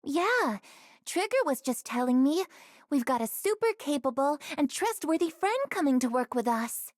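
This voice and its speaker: Eager young soldier girl from a game, high voice, bouncy pitch. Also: sing-song, normal speed, no echo, studio quality. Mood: chirpy. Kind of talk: video game voice acting.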